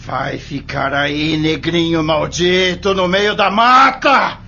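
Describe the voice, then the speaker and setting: An actor playing a sadistic, menacing plantation overseer with a sneering drawl in an audio drama. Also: male voice, fairly high pitch, slow, creaky, pushed and bright, full character voice. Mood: gloating cruelty. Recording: dull, lo-fi recording with music.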